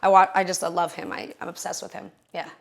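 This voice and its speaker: A young woman with a raspy voice, a singer chatting as a guest in an interview, full of "you know" and "like". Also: lowish voice, level tone, fast talker. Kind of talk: casual interview chat.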